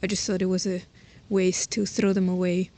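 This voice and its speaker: A woman's voice: lowish voice, flat delivery, moderate pace, dark tone. A woman giving a casual, unscripted walking tour, thinking aloud hesitantly and informally, recorded outdoors on a handheld device. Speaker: host giving a tour of their own garden plot. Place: outdoors around a house and garden. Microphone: handheld camera or phone while walking. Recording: noisy outdoor handheld recording, ambient sound.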